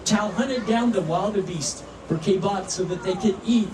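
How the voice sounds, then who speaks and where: A man reading a children's story aloud at a live event in an expressive, earnest way. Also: high voice, normal speed. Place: outdoor public event at animal habitat. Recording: roomy, distant mic, noisy outdoor ambience.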